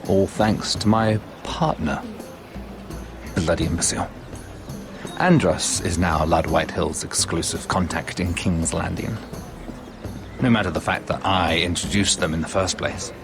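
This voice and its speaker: A man playing a worldly, sardonic merchant lord in a fantasy game, with a smooth, wry delivery. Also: low voice, a little slow, pauses often. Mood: bitter, wry. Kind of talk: fantasy video game acting. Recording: music behind the dialogue.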